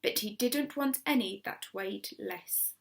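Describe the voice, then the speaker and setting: A woman's voice: mid-range voice, unhurried, vocal fry. A woman reading a children's storybook expressively, as a primary school story reader, stressing key words.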